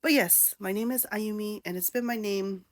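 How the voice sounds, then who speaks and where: Woman, 30s, conversational vlogger, candid and rambling, mid pitch, dry close sound. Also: moderate pace, no room sound. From born in Japan, raised in New York City. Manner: chatty, candid, with fillers and self-asides. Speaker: content creator. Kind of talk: casual talking-to-camera vlog.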